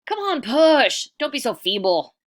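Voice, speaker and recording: A woman reading a storybook aloud as a sly trickster man, bossy and pleading, with theatrical shouts and wheedling. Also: sits high, very animated, unhurried, pitched above the performer's own voice. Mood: bossy, impatient. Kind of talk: storybook read-aloud character voice.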